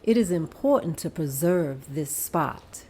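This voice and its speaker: A woman at a table read playing an agreeable club member and secretary in a plain, steady voice. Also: low voice, unhurried, husky, heavily acted.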